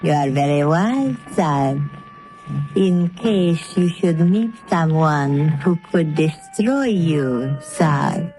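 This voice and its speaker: An actress playing a sinister, scheming foreign dancer in a 1930s radio drama, speaking low and mysteriously. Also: female voice, expressive, slow pace, mellow, faint music behind. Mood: menacing.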